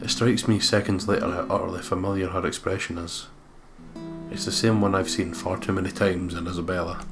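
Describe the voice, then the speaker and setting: A man's voice: low voice, steady tone, fairly quick. A man doing a let's play, reading game narration aloud and commenting casually, with guitar music behind him. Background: game soundtrack with guitar.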